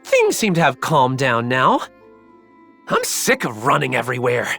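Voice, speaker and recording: Sly, animated female mad scientist. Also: very deep voice, measured pace, gravelly, full character voice. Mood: bored, wry. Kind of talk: anime video game voice acting. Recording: music behind the voice.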